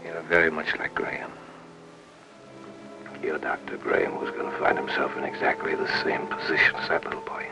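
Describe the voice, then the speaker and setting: An actor playing a bedridden, menacingly possessive husband in a 1950s film melodrama, speaking slowly and haltingly in a hush. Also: male voice, very deep voice, monotone, rough recording. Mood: brooding, menacing. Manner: slow, halting, hushed, often near a whisper. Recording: old film soundtrack, music behind.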